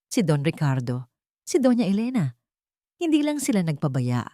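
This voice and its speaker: A woman with a Filipino accent reading a scripted love story as a narrator, in a dramatic, deliberate way. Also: low-pitched, big pitch swings, dark tone, dry recording, clean studio sound.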